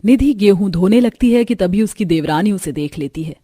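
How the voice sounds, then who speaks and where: A woman's voice: mid-range voice, expressive, moderate pace, dark tone, dry recording, clean studio sound. A woman reading scripted narration for a cartoon story as its narrator.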